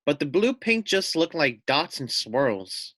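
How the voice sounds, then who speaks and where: Slow, animated man reading aloud. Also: on the high side, dry recording, clean studio sound. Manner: addresses listeners with questions and asides. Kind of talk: expressive children's story read-aloud.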